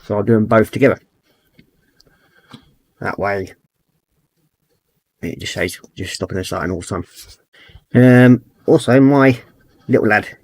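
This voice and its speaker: A middle-aged man with a croaky throat, chatting easygoing and rambling as he hosts a livestream, reading out messages from chat. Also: on the low side. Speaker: livestream host. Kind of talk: casual livestream chat while painting models.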